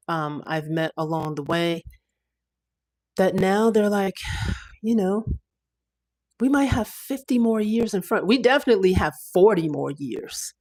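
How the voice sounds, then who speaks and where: A woman in her fifties hosts a casual livestream, speaking in a slightly low voice. Her talk is chatty and rambling, full of fillers and restarts. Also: a little mellow. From United States. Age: 50s. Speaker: livestream host.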